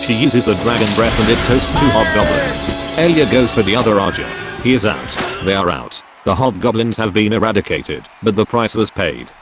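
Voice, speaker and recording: A man's voice: on the low side, expressive, moderate pace, few pauses, natural voice. A man playing an arena co-host who gives dramatic play-by-play commentary on fantasy fights like a showman. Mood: excited. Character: excitable male arena commentator, a sportscaster co-host. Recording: loud music behind the commentary.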